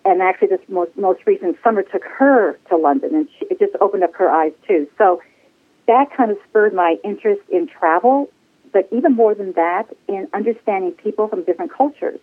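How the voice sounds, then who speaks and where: Sixties woman, bubbly guest, mid-pitch, thin phone-line sound. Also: brisk, slightly rough. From United States. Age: 60s. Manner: bubbly, laughs often. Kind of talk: casual interview. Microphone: phone call.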